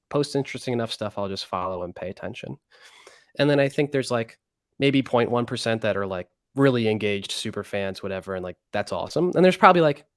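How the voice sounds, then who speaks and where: A man's voice: lowish voice, no room sound, clean studio sound. A man answering interview questions in a loose, rambling way, full of fillers and restarts. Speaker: interview guest. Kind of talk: casual conversational interview.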